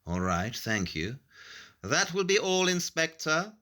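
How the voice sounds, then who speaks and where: An actor playing a brilliant, brusque Victorian detective in an audio play, with a clipped, superior delivery. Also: male voice, fairly high pitch, big pitch swings, slow pace, bright, forceful tone, full character voice. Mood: assured. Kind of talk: scripted audio play acting.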